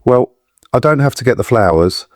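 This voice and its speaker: A man's voice: mid-range voice, animated, normal speed, dry recording, clean studio sound, lightly acted. An actor playing a shaken but kindly man in an audio drama, a reluctant rescuer who speaks in a hesitant, reassuring, muttering way. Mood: flustered.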